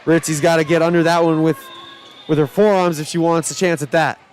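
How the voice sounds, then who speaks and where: Hoarse-edged young man calling volleyball. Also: a little high, fairly quick, some chatter behind, a little hiss or hum. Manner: excited shouting calls over rallies. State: starting to lose his voice. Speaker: play-by-play announcer. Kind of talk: high school volleyball play-by-play.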